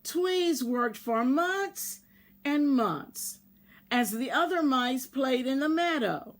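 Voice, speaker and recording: Very slow-paced woman reading a children's story. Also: high voice, lively intonation. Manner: measured, pausing to show pictures to young listeners. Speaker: volunteer children's story reader. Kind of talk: read-aloud picture book storytelling for children.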